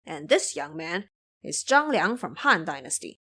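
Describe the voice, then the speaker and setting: A woman's voice: deep voice, very animated, measured pace, sharp tone, slightly rough, pitched below the performer's own voice, full character voice. Woman playing courtly old Dragon King. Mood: instructive. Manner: formal, persuasive. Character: courtly old male Dragon King, wise, earnest counsellor. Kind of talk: audio drama character acting.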